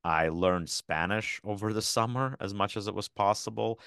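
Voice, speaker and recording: Guest: deep-voiced man from Ukraine, Russian native speaker, explanatory and chatty, dry close sound. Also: moderate pace, gravelly, a bit bright, clean tone, dry recording. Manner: explanatory, often adds tag questions. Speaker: podcast guest. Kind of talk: casual interview talk.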